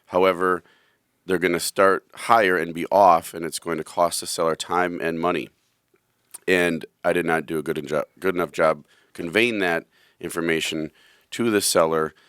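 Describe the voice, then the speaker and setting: Hoarse radio host, very low voice, dry studio sound, loose rambling storyteller. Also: male voice, fairly even intonation, unhurried, a bit bright, no echo. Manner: rambling storyteller, self-deprecating. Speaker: radio show host, real estate agent. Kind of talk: casual radio talk.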